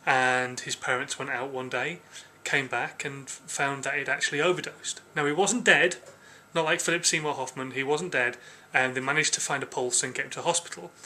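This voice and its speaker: An English man records a casual vlog in a bright, clear-cutting voice. He sounds somewhat hoarse with a cold. His talk is informal and chatty, with a hesitant, thinking-aloud way about it. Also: medium pitch, fairly even intonation, moderate pace. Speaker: vlogger.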